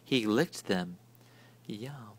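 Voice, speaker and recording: A man reading a children's picture book aloud with deliberate, dramatic storytelling. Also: on the low side, animated. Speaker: story reader. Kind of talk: expressive picture-book narration.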